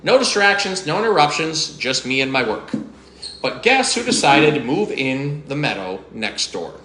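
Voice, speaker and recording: A man's voice: on the high side, sing-song, moderate pace, sharp tone, roomy sound, slight background noise, poor audio. A man reading aloud as a grumpy, jealous male goblin who complains indignantly. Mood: grumbling.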